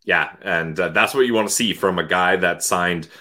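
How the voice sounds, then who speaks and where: A man's voice: low voice, normal speed, sharp tone. A man co-hosting a sports podcast, speaking casually about hockey.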